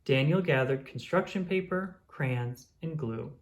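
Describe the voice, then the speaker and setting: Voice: medium pitch, measured pace. A storybook reader narrating expressively for young children. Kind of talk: expressive scripted read-aloud narration.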